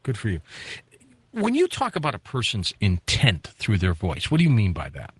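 Lively-voiced man in his fifties hosting radio. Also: fairly low pitch, normal speed, slightly rough, dry recording. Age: 50s. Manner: curious, chatty questioning. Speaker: radio talk show host. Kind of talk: radio interview.

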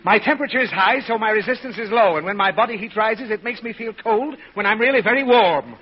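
A man's voice: high voice, fast talker, natural voice. An actor playing an exasperated, short-tempered, suffering father in old radio comedy, groaning and sputtering. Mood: pained, irritated. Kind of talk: 1940s radio comedy acting. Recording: muffled, noisy old radio show with audience.

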